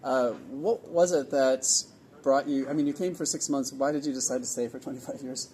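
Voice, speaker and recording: A man from California hosting a casual interview at an event venue, easygoing and rambling with pauses. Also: medium pitch, darkish tone, slight background noise. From California, USA. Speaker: host of a nomad community event.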